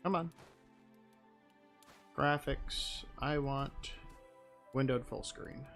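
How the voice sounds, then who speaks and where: Male streamer, unhurried, bright tone, rambling chat, faint music behind. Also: mid-range voice, steady tone, vocal fry. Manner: rambling, thinking aloud. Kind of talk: casual live-stream chat.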